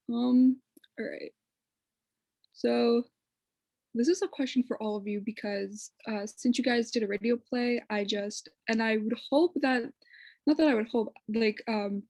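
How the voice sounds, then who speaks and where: A woman's voice: a little high, darkish tone, no room sound. A woman moderating a panel, asking her question hesitantly and correcting herself partway through.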